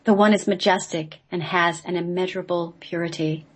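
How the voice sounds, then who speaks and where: Female, slightly low pitch, solemn scripted recitation, radio guest. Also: steady tone, brisk. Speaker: guest reading a script she wrote.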